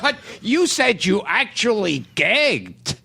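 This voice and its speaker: A man's voice: high voice, big pitch swings, takes it slowly, vocal fry, bright, forceful tone, slightly rough. A man hosting a podcast, laughing often and eagerly prompting his guest in casual comedic chat. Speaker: podcast host.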